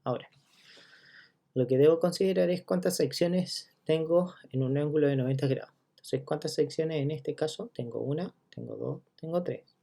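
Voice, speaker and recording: Male math teacher, gravelly, mellow tone, methodical step-by-step explainer. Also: a little high. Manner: walks through each step, checking in with listeners; counts items out loud one by one. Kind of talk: recorded geometry lesson.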